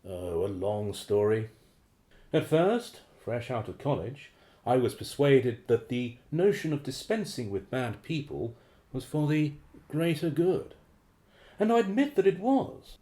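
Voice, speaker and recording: Male actor for secretive radio-play defector: courteous, hesitant, uneasy man with a soft-edged tone and swooping melody. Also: mid-range voice, sing-song, a little slow. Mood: confessional, uneasy. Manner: hesitant, formal, well-spoken. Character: secretive male operative betraying his shadowy organization, uneasy. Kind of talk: radio drama acting.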